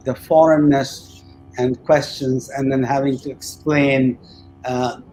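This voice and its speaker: A man who grew up in India, speaking hesitantly with frequent pauses as a participant in a panel discussion. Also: mid-range voice, a little mellow, a little hiss or hum, low-quality recording. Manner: hesitant, with many "uh" fillers and restarts. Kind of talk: personal reflection in a panel discussion.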